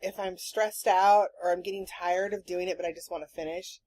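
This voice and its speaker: A woman hosting a podcast in casual chat, telling rambling stories in an animated, sweary way. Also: on the low side, a little fast, poor audio. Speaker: podcast host.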